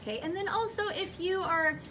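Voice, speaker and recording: A woman's voice: very high-pitched, a little fast, pushed and bright. A woman schoolteacher giving instructions to children in a classroom, projecting her voice and checking understanding with questions. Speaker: elementary school teacher. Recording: distant mic, noisy classroom.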